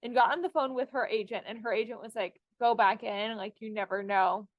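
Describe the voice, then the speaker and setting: A woman's voice: fairly high pitch, brisk, no echo, dull audio. A woman hosting a podcast, telling anecdotes in a chatty, enthusiastic fan style. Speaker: podcast host. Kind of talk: casual fan chat and anecdotes.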